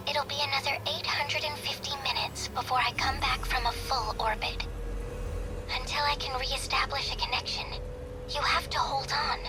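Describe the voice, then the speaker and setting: A woman playing a gentle young analyst who supports pilots in a game dub, earnest and encouraging in manner. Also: high voice, fairly even intonation, moderate pace, over music, lightly acted, poor audio. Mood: grave, apologetic. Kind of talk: English game dub voice acting.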